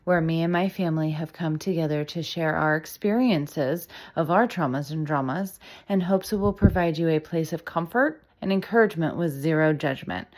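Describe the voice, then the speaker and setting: A woman hosting a family podcast, introducing the show and leading with questions in an easygoing way. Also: sits low. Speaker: podcast host. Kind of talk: podcast intro and casual chat. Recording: very echoey room, far from the mic, faint noise.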